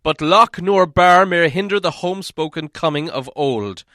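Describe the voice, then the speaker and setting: Man playing a grand, booming dwarf king. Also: normal speed, no echo, studio quality. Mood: indignant, stately. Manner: solemn, grandly declaiming, loud. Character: proud, commanding dwarf king in exile. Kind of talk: audiobook character acting.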